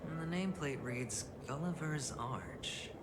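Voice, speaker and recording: A man's voice: on the high side, expressive, a little slow. An actor playing a playful, flamboyant young male gambler in a video game, teasing and musing in a theatrical way. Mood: amused, curious. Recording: loud music under the voice.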